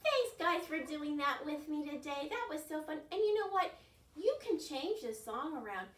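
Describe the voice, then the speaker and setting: High-voiced older woman hosting children's storytime. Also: moderate pace. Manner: animated, exaggerated gasps and questions to young children. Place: carpeted living room at home. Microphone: camera across the room. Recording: roomy, distant mic with some background noise.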